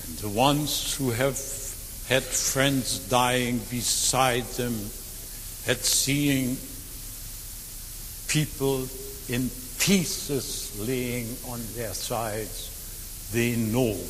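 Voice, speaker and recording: A man in his eighties whose first language is German, giving earnest testimony to an audience, slow and deliberate, rising to emphatic swells and dropping to quiet near-whispers. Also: mid-range voice, big-room sound, slight background noise. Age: 80s. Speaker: war veteran speaker.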